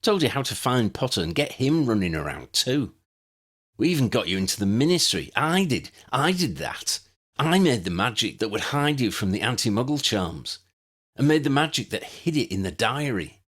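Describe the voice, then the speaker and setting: A man's voice: medium pitch, sing-song, moderate pace, a bit bright, husky, voice pushed up. A man voicing an angry, boastful wizard villain in an audiobook, proud and defiant with a thin, weak voice. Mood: gloating. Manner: proud, defiant, with a dry cough. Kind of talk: audiobook character voice.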